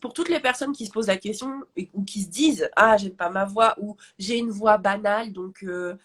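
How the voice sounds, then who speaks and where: A woman's voice: medium pitch, moderate pace, a bit bright, breathy edge. A woman business coach with a nasal voice, talking casually and animatedly about confidence and speaking up. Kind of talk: casual chat on a live stream.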